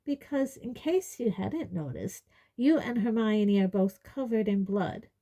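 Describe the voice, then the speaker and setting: A woman's voice: medium pitch, expressive, a little slow, dark tone, natural voice. A woman voicing a confident, stubborn teenage witch girl in a storybook, cool and matter-of-fact.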